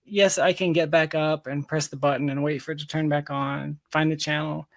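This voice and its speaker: A man's voice: on the high side, little pitch movement, brisk, gravelly, no echo, clean studio sound. A man talking casually as an interview guest, explaining his ideas emphatically and repeating phrases for stress. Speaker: interview guest, tech company founder.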